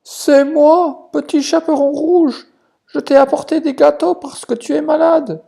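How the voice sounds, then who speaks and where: A sly storybook wolf poses as a frail granny. The voice is a very high, thin falsetto, strained and sugary. Words are stretched out loudly to fool a child. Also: fairly even intonation, measured pace, creaky. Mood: sly, pretending. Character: big bad wolf faking a frail sweet grandma voice.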